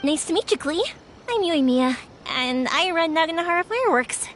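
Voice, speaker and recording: A woman's voice: very high voice, animated, moderate pace, a bit bright, soft background music. A woman voicing a friendly young fireworks maker in an anime-style game, bubbly and enthusiastic.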